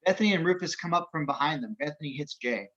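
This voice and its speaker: Higher-pitched male narrator, dull audio. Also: lively intonation, quick pace, fairly bright tone. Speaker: narrator of action descriptions. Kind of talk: scripted narration at table read.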